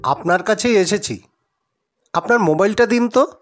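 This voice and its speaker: Man acting a high-voiced, probing detective. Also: moderate pace, faint music behind, close to an everyday voice. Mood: urgent, decisive. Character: shrewd, questioning male private detective, impatient and decisive. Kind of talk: audio story acting.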